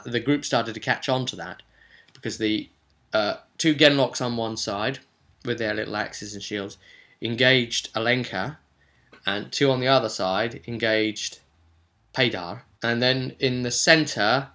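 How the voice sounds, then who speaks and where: A man's voice: on the low side, pushed and bright. A man talking casually as a game master recounting a role-playing session, chatty and emphatic, often breaking off into asides.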